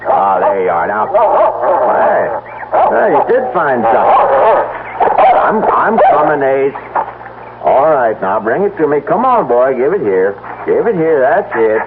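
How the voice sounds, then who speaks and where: An American actor playing a plain-spoken farmer and husband in an old-time radio drama, folksy and exclamatory. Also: male voice, medium pitch, very animated, a little slow, very noisy. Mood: calling, hearty. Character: plain-spoken, dog-loving farmer husband, worried about his wife. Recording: tinny narrow-band vintage radio, music behind.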